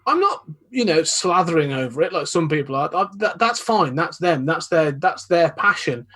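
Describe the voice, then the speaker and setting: Husky-voiced man, thoughtful. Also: fairly high pitch, lively intonation, bright, forceful tone. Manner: thoughtful, measured, hedging with "you know". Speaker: podcast guest. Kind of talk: casual chat.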